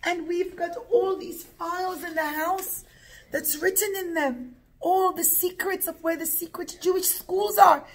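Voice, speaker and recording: A woman's voice: extremely high pitch, steady tone, a little slow, pitched above the performer's own voice, poor audio. A woman storyteller voicing a frightened elderly mother who wails and cries out in panic. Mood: panicked. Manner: panicked, wailing, shrieking. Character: frightened elderly mother screaming to drive police away.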